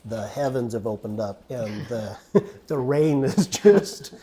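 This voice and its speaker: Mellow-voiced man hosting a chat. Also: medium pitch, sing-song, slow pace. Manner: affirming, chatty, many fillers. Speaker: podcast host. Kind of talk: casual conversational interview.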